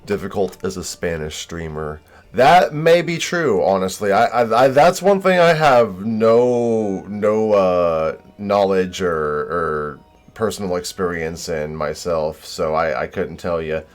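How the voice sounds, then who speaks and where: A man's voice: deep voice, lively intonation, slow pace, soft background music. A young man streaming a game, chatting casually with viewers in a modest, hesitant way full of fillers.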